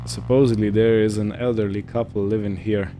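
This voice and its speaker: A man hosting a roleplay gaming series, narrating his play in character in a casual, improvised way with halting short phrases. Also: on the low side, flat delivery, mellow, a little hiss or hum.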